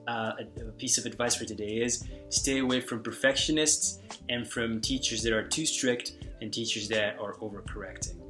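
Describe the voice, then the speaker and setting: A man whose first language is Hungarian talks through language-learning advice in a loose, unscripted way, circling back and restarting his sentences. His voice sits at an ordinary middle pitch. Faint guitar music plays quietly under him. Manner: relaxed, rambling, many restarts and fillers. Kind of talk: casual spoken advice.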